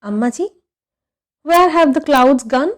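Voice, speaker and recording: Woman voicing an earnest, high-pitched young boy. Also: animated, a little slow. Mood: insistent. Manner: earnest, questioning, insistent. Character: earnest, wistful young boy asking about clouds.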